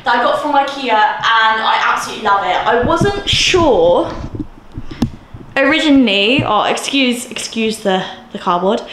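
A young woman in her twenties chatting casually and excitedly as she shows off her new flat, filmed on a handheld camera. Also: on the high side, measured pace, noisy background. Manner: chatty, bubbly, gushing with excitement. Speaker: vlogger. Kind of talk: casual home tour vlog. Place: mostly empty new flat, indoors. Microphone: camera mic, handheld camera. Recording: echoey empty rooms.

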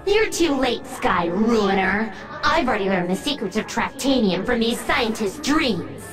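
A woman's voice: on the high side, animated, measured pace, music in the background. Sassy sinister spirit girl. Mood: smug, annoyed. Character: sassy, sinister female dream-stealing spirit villain. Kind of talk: animated game villain acting.

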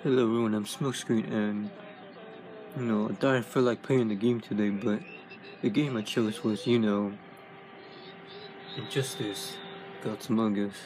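A man's voice: on the low side, fairly even intonation, moderate pace, long pauses, over music, lightly acted, low-quality recording. A man voicing a laid-back male robot toy hero in a home toy video, talking with lots of fillers and restarts. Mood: easygoing. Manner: rambling, many fillers.

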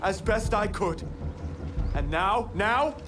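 An actor playing a proud, bitter young leader in a video game, emphatic and accusing in delivery. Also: male voice, high voice, animated, slow pace, noisy background. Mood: angry, defiant. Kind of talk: dramatic video game cutscene acting. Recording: loud music behind the voice.

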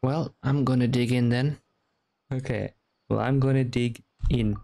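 A man's voice: medium pitch, steady tone, measured pace, vocal fry. A man streaming a game, giving casual commentary and reading dialogue aloud. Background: soft game music.

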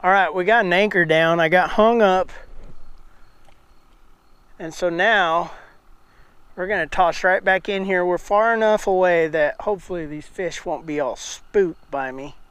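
A man, giving casual running commentary while fishing from a small boat on a river, with excited bursts and muttered asides. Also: sits high, measured pace, pauses often, clean tone, no echo. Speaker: fishing vlogger. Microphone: small clip-on mic on the chest strap.

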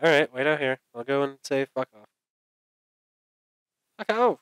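A man's voice: medium pitch, expressive, measured pace, fairly bright tone, clear, resonant tone, no echo, studio quality. A man hosting a gaming video with casual, jokey commentary, muttering to himself and exclaiming. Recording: game music behind the voice. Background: video game music.

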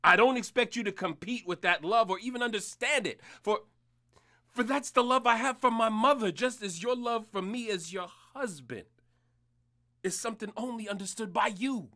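Tormented, devout husband for audio drama, earnest and pleading, with a sharp forceful edge. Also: male voice, high voice, normal speed. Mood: fervent, resolute. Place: small recording studio. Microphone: studio mic on boom.

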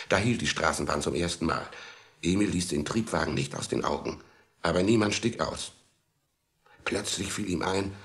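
A man's voice: low-pitched, creaky. A man narrating a radio play expressively, dramatically slipping into the thoughts of the character he tells about. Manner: dramatic storytelling, voicing a boy's thoughts.

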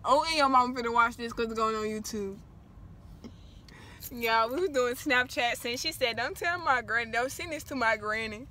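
A young woman with a high, bright voice talks in a slangy, animated way, like a casual vlogger. She teases and bickers playfully. It is recorded on a phone in a car with some faint noise. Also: normal speed. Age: young adult. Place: inside a parked car. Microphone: phone camera at arm's length.

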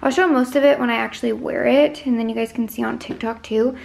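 Young woman vlogger, creaky higher voice. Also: steady tone, fast talker, a little mellow. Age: 20s. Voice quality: slightly scratchy. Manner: informal, frequent "like" as filler. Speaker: lifestyle vlogger. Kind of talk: casual vlog chat to viewers. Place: indoors in a home room.

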